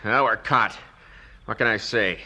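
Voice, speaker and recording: A man playing a menacing, sardonic kidnapper boss in a 1970s radio drama, gruff and mocking. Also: fairly low pitch, measured pace, bright, forceful tone, full character voice. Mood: resigned.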